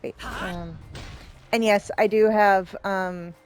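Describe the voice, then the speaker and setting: A woman's voice: medium pitch, steady tone, slow pace. A woman streamer giving casual let's play commentary in a rambling, hesitant, self-deprecating way. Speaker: game streamer, host.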